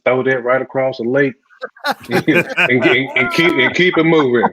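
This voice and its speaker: A man's voice: medium pitch, unhurried, very noisy. A man co-hosting a casual hip hop podcast, joking in slang and circling back over his phrases for emphasis. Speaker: podcast co-host. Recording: other voices clearly behind.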